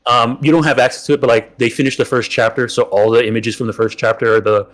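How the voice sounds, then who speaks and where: Halting man explaining his game setup. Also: mid-range voice, steady tone, fairly bright tone. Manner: halting, lots of fillers and restarts. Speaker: game master guest. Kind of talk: casual chat about tabletop games.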